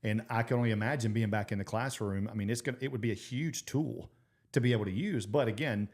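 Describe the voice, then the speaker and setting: A man co-hosting a casual podcast, a former teacher and coach, who asks questions and keeps chiming in with quick agreement. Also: medium pitch, a little mellow. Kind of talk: casual chat.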